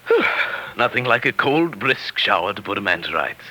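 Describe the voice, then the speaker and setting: Pompous, low-voiced professor. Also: male voice, sing-song, sharp tone, slight background noise, low-quality recording. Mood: breezy. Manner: precise, self-satisfied, measured. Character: pompous, fussy, scheming male professor, newly married murderer. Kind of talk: 1940s radio drama acting. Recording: 1940s radio broadcast recording.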